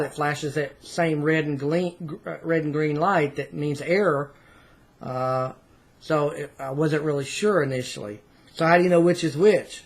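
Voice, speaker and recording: A man giving a casual, unscripted product review in a hesitant, rambling way, recorded indoors on a camera mic. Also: on the high side. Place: small indoor room at home.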